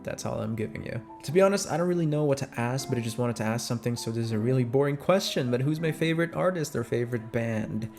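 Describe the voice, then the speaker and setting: A man's voice: mid-range voice, fast talker, few pauses, a little mellow. A young adult man chats casually and informally as an audio creator answering fan questions, close on the microphone. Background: music.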